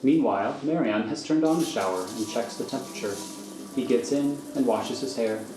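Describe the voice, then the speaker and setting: A man narrating scripted stage directions for a radio thriller in a measured, matter-of-fact manner. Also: mid-range voice. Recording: echoey room, faint noise.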